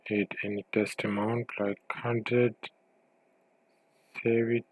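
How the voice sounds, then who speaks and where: Low-voiced man giving a tutorial, dry sound. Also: little pitch movement, slow pace, darkish tone, dry recording, poor audio. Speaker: software tutorial presenter. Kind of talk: informal screen-share tutorial narration.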